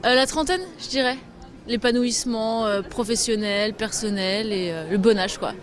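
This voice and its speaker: A woman's voice: a little high, moderate pace, over music. A young woman in her twenties, a passer-by outdoors, answering in a hesitant, giggly way. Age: 20s.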